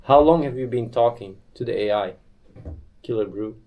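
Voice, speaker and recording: A man's voice: mid-range voice, moderate pace, dull audio. A man hosting a casual live stream, talking bluntly and irritably with plenty of swearing. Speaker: livestream host.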